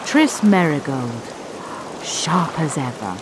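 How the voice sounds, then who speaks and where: An actress playing a haughty, sardonic royal sorceress in a video game, speaking formally and dryly. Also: female voice, low-pitched, lively intonation, slow, noisy background. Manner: formal, dry, imperious. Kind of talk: dramatic video game dialogue. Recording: loud running water behind voice.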